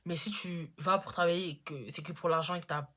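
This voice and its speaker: Teenage girl, vlogger, chatty, slangy, low-ish voice. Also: steady tone, brisk, sharp tone. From Congo-Brazzaville. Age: teens. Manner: chatty, lots of fillers, slangy. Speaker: YouTuber. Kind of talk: casual Q&A vlog.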